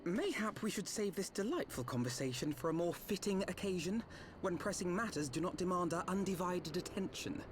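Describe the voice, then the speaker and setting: High-voiced, pompous young noble. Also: male voice, lively intonation, fairly quick, slight background noise. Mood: prim, impatient. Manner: formal, pompous. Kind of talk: video game voice acting. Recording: game audio with music.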